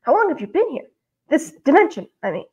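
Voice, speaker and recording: A woman's voice: high voice, sing-song, brisk, mellow, highs cut off, voice pushed up. A woman voicing a kindly, fatherly man with a folksy, reassuring manner in a storybook reading.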